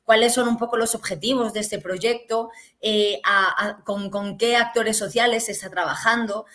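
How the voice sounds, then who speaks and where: A woman's voice: medium pitch, fairly even intonation, normal speed, fairly bright tone. A woman hosting a talk program, upbeat and emphatic, with frequent fillers. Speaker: host.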